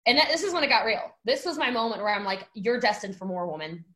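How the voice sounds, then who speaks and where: Woman in her 30s, bright voice, a bit high, chatty and animated, acts out quotes. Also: fast. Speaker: guest. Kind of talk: casual storytelling chat.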